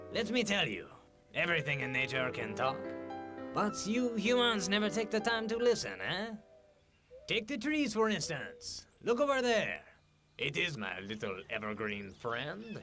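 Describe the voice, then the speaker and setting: A man's voice: high voice, sing-song, measured pace, sharp tone, soft background music, heavily acted. A man playing a jovial, chatty talking snowdrift with an exaggerated French accent, theatrical and playful in a comic story. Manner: theatrical, playful, addresses listener directly.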